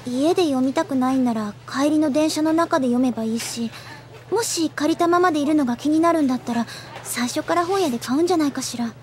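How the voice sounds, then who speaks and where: An actress playing a sharp, polite teenage schoolgirl in an anime audio drama, matter-of-fact and a little tart. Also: high voice, quick pace, slight background noise, lightly acted. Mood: puzzled, reasoning.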